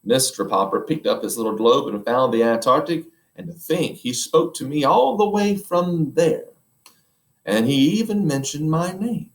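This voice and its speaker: A dreamy, enthusiastic husband, as a man reading a storybook voices him, speaking with eager wonder. His pitch rises and falls with excitement in an echoey room. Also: moderate pace. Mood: amazed. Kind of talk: reading aloud a children's book.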